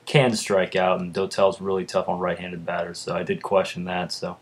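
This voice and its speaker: A young man with a deep voice, chatting casually in a vlog and rambling with lots of fillers. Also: level tone, moderate pace, breathy edge. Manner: rambling, lots of uhs and ums. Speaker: vlogger. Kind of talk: casual chat.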